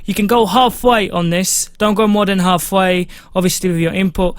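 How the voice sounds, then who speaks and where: A young man walks through a music-production tutorial in a casual, chatty way, full of fillers and restarts. His voice sits high, and he talks close to a studio mic in a small home studio with a dry, dead sound. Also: normal speed, no echo. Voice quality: fairly high voice. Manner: casual, instructive, many fillers. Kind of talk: screen-recorded software tutorial.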